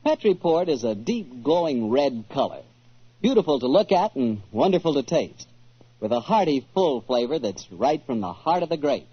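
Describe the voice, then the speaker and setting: A man's voice: mid-range voice, big pitch swings, normal speed, slightly rough, dry recording. An American man announcing in polished, salesmanlike 1940s radio style. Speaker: radio announcer and sponsor spokesman. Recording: muffled old radio broadcast.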